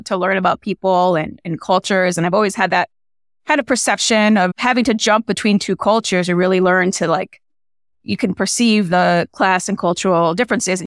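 A young woman filmmaker talking casually in an interview, reflective and halting, with many restarts. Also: mid-range voice, dry recording, clean studio sound. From United States, small-town Illinois. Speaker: filmmaker guest.

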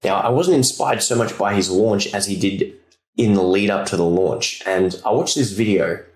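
Deep-voiced Australian man hosting a podcast. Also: normal speed, a little mellow, roomy sound. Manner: chatty and rambling. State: recovering from a sore throat and chest infection. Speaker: podcast host. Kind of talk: casual solo podcast talk.

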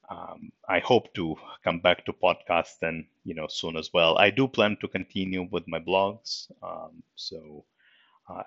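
A middle-aged man from Russia with a Russian accent, hosting a solo podcast episode, speaking haltingly with many fillers in a subdued way. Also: sits low, vocal fry, fairly bright tone, no room sound, highs cut off. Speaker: podcast host.

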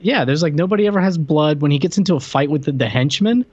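A man's voice: on the high side, quick pace, a little mellow, husky, dry recording, dull audio. A man co-hosting a podcast, chatting casually about a film and retelling scenes in slangy, incredulous fashion. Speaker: podcast co-host. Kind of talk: casual film-review chat.

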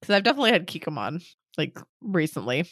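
A woman's voice: sits low, big pitch swings, quick pace, fairly bright tone, husky, dry recording, studio quality. A young woman co-hosting a casual podcast, talking loosely with laughs and swearing, her voice still a little off from a cold. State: recovering from a cold, voice a bit off. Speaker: podcast co-host. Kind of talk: casual chat.

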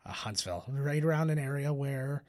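A man's voice: medium pitch, a little fast, a little mellow. A man chatting casually as a podcast co-host, explaining movie trivia in loose, sweary talk. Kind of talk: casual movie commentary chat.

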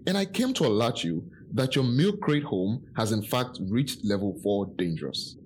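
A man's voice: lowish voice, sing-song, moderate pace, a little hiss or hum. A man playing an earnest, officious walrus professor in a children's radio play, speaking formally. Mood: officious, cautioning.

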